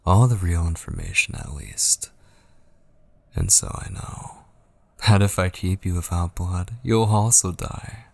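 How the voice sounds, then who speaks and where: Man playing a low, breathy, obsessive yandere kidnapper. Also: big pitch swings, exaggerated voice. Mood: gloating. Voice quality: breathy, husky, often dropping to whispers. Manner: slow, coaxing, teasing endearments. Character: obsessive possessive male yandere kidnapper, sweetly menacing and taunting. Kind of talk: ASMR roleplay monologue. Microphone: close studio microphone, dry.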